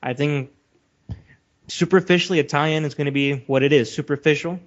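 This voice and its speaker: A man's voice: a little high, steady tone, normal speed. A man co-hosting a podcast, discussing his opinions casually in an assertive, emphatic manner. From Western country. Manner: assertive, emphatic, steers the talk. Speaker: podcast co-host. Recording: dull lo-fi audio.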